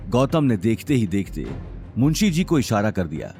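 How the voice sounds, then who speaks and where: A man's voice: fairly low pitch, big pitch swings, moderate pace, warm tone, faint music behind. A man narrating a scripted audio drama in a dramatic storytelling style.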